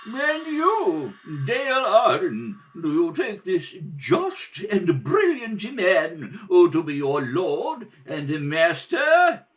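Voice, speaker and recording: A man voicing a pompous, ceremonious alien high priest in an old radio serial style. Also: wildly swooping pitch, slow pace, few pauses, fairly bright tone, far from the mic, full character voice. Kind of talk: 1930s radio serial acting.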